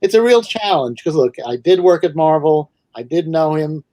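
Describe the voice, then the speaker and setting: A man from Manhattan with a New York accent, a guest author talking over a video call in a thoughtful, halting way. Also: on the high side, animated, mellow, no echo. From New York City. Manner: thoughtful, hesitant, many restarts. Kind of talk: casual video call interview.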